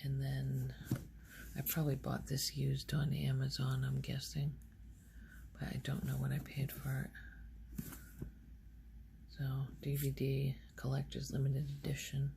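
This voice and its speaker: Female ASMR host: unhurried, hushed and whispery, casual rambling delivery, crackly vocal fry, faint noise behind. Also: extremely low pitch, monotone. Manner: hushed, often slipping into whispering. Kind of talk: soft-spoken ASMR, casual rambling show-and-tell.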